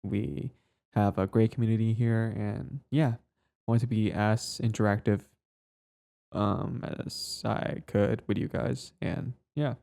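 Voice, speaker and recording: Mellow man chatting casually, hesitant. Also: lowish voice, fairly even intonation, slow, creaky. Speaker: ASMR voice performer, channel host. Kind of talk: casual chat to listeners.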